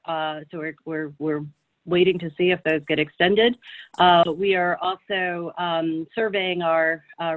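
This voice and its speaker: A woman on a thin, phone-like line answers a question about an upcoming conference. She speaks in a level, even tone and stumbles often, filling pauses with ums and restarts. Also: on the low side. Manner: hesitant, many fillers and restarts. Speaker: association chief executive.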